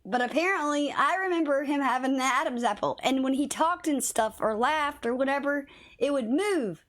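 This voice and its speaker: A woman's voice: sits high, normal speed, fairly bright tone. A woman livestreaming a game, chatting casually with viewers and swearing a little; her voice is slightly worn, as if she is losing it. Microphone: phone.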